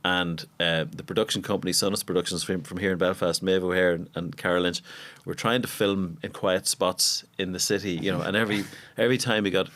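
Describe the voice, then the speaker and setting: A man's voice: low-pitched, fairly even intonation, brisk, few pauses, fairly bright tone. A middle-aged man, a musician guest on a podcast, telling long, enthusiastic anecdotes in casual conversation. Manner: expansive, enthusiastic anecdotes with repetitions.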